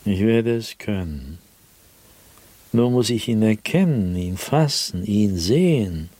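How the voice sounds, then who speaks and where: Tormented man, wildly swinging pitch. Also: darkish tone, no echo. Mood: grim resolve. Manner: halting, repetitive, dramatic, with anguished emphasis. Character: tormented man obsessed with an invisible being, desperate. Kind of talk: German radio drama monologue, theatrical diary narration.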